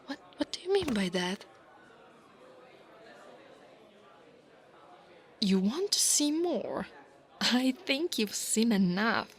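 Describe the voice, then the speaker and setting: A woman voicing an insecure young dragon girl in an ASMR roleplay, haughty and defensive, stammering when flustered and often dropping toward a breathy whisper. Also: on the high side, sing-song, unhurried, a faint crowd. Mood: flustered, threatening. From Italy. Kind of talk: scripted ASMR monster roleplay, acting one side of a conversation.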